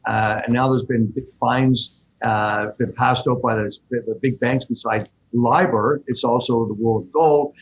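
A man being interviewed, explaining investments in quick, animated bursts with frequent fillers. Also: medium pitch, breathy edge, muffled recording. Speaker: fund manager guest. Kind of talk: interview answers.

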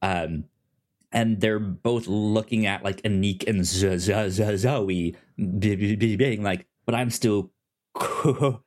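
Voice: low voice, takes it slowly, darkish tone. A podcast co-host chatting casually about television, stammering and often repeating sounds and words. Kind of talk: casual TV discussion chat.

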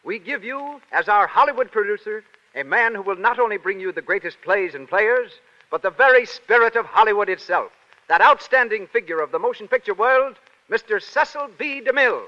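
A man's voice: high-pitched, animated, brisk. An American man announcing a 1930s radio show in a formal, proclamatory style. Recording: muffled vintage 1930s radio, loud music.

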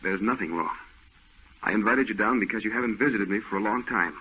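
Secretive painter, low, husky and terse, old-time radio. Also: male voice, fast. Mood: guarded. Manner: terse, guarded, tense. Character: troubled, secretive male painter. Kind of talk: 1940s radio acting. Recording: tinny old radio broadcast.

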